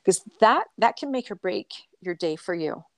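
A woman's voice: medium pitch, expressive, moderate pace, no echo. A woman with a Scottish accent chatting casually as a coach and co-host, explaining things and posing questions as she goes. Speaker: endurance coach and podcast co-host. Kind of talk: casual conversational podcast talk.

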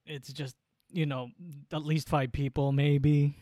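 A man's voice: a little high, little pitch movement, takes it slowly, mellow, no echo, clean studio sound. A man co-hosting a podcast from home, teasing and laughing often as he tells stories in casual chat. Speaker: podcast co-host. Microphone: home podcast microphone.

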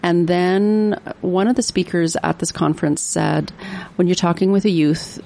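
Gravelly low-voiced woman host. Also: steady tone, moderate pace, mellow, a little hiss or hum. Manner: hesitant, filler-heavy, self-deprecating. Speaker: radio show host. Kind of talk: radio interview conversation. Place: radio studio.

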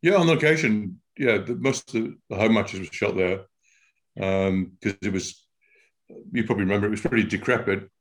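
An older man with a Scouse accent, a TV writer guest recalling past work in a relaxed, anecdotal way with frequent "you know". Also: on the low side, moderate pace. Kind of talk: casual interview.

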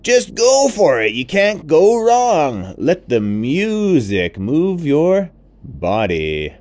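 A man voicing a big, jolly male elephant who loves noise and parties, cheerful and booming. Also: huge pitch swings, very slow, darkish tone, heavily acted. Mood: encouraging.

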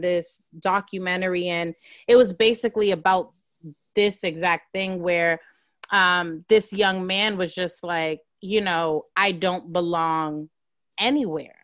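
A woman's voice: fairly low pitch, a bit bright, no room sound, clean studio sound. A woman hosting a podcast interview, chatty and enthusiastic, peppering her speech with "like". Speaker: podcast host. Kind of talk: casual interview chat.

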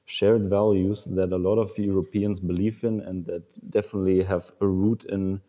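A young man from Germany thinks aloud in a panel talk, pausing on ums and restarting his sentences. His low, even voice carries a dark tone. The sound trails off into a long, reverberant tail. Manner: hesitant, many fillers and restarts. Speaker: panel discussant. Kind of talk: casual discussion.

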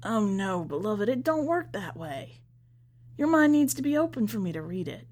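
A woman's voice: medium pitch, sing-song, normal speed, a little mellow. A woman voicing a pious, folksy bearded male preacher in a prison story, evasive and sanctimonious. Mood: defensive, pleading.

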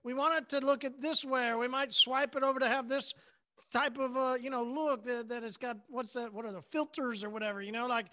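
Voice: mid-range voice, steady tone, fairly quick, bright, forceful tone. A podcast host in casual chat, asking probing questions with lots of fillers like "you know" and "right".

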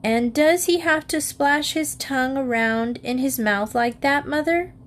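A woman's voice: high-pitched, measured pace, pitched above the performer's own voice. A woman voicing a bossy, easily irritated schoolgirl in a storybook, emphatic and indignant, on a noisy recording. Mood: disgusted.

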